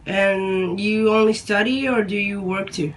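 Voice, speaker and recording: Woman interviewer, mid-pitched, careful rephrased questions. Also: fairly even intonation, unhurried, slight background noise, rough recording.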